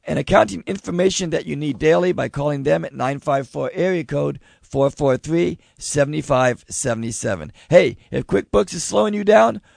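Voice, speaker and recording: Hoarse fired-up radio host guy, gravelly and a bit high, dry studio sound. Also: moderate pace, dry recording. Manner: loud, excitable, laughing. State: losing his voice. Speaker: radio talk show host. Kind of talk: live radio talk show. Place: radio studio with live audience.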